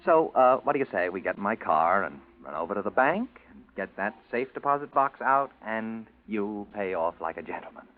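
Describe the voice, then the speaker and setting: An actor playing an insinuating alimony lawyer in a 1940s radio drama, falsely apologetic and wheedling. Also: male voice, lowish voice, very animated, moderate pace, phone-quality sound. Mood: wheedling, pushy. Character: smooth-talking, insinuating male alimony lawyer. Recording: band-limited old 1940s radio recording.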